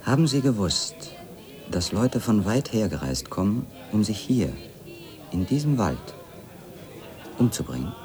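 An actor playing a brooding, disillusioned former editor in a German radio drama, insistent and bitter. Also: male voice, fairly low pitch, big pitch swings, a little slow, pauses often, darkish tone, faint music behind. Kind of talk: German radio drama acting with inner monologue.

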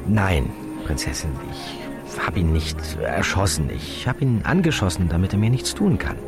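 A man's voice: low-pitched, very animated, normal speed, music in the background. A man playing a weary, sceptical police inspector and protective father, blunt in manner, in a radio drama. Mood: gentle, paternal. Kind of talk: German radio drama acting.

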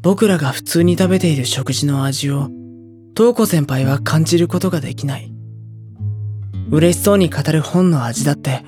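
A woman's voice: deep voice, normal speed, faint music behind, close to an everyday voice. A woman playing a reserved teenage boy who narrates the story and reacts sensibly to his senior. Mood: narrating. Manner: quiet, sober inner narration, flustered when caught. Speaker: narrator and younger student. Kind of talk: anime drama CD narration.

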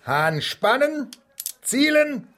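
An actor in a German radio drama playing a swaggering, cheerful gentleman adventurer, jovial and teasing, with a husky voice. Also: very high voice, very animated, very slow, very noisy, full character voice. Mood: brisk, eager. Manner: jovial, teasing, old-fashioned gentlemanly turns of phrase. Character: swaggering, cheerful English adventurer and gun enthusiast.

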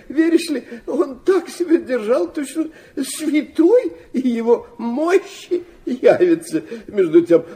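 An actor playing a sentimental, flighty old Russian prince in a radio play, rambling and hesitant, stammering and dropping in French phrases. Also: male voice, sits high, mellow, exaggerated voice. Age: older.

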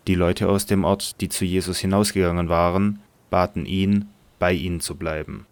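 A man narrating a scripted Bible audio drama in a measured storytelling manner. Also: low-pitched, fairly even intonation, dry recording.

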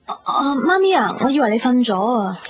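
Sensitive, high-voiced young Hong Kong Cantonese woman. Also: sing-song, fairly quick. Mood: evasive. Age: young adult. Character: sensitive, stubborn young daughter in love, narrating her story. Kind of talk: radio drama acting with reflective first-person narration. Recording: dull 1990s radio recording under music.

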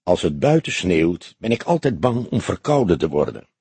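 Audiobook role: male reader as older police detective, very husky, grave, deliberate, dry wit, close dry sound. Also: deep voice, very animated, warm tone, dry recording, full character voice. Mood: wry. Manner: deliberate, explanatory, dryly humorous. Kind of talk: audiobook character dialogue.

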